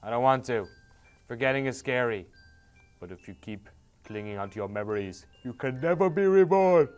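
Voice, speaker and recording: A man's voice: mid-range voice, expressive, moderate pace, darkish tone, smooth. An Irish man reading a game's dialogue aloud, with sarcastic, swearing asides between the lines. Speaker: host playing a game.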